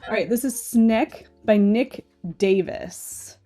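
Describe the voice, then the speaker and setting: A woman's voice: fairly high pitch, takes it slowly, mellow, soft background music. Stuffy, chatty woman knitting vlogger. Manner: chatty and opinionated, often asking "okay?". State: stuffy, slightly nasal. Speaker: knitting channel host. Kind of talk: casual screen-share commentary.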